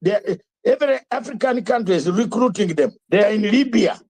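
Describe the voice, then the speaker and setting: High, husky older man preaching politics. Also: expressive, fairly quick, darkish tone. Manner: emphatic, repetitive, rhetorical questions. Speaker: host of an online live talk show. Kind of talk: fiery live commentary.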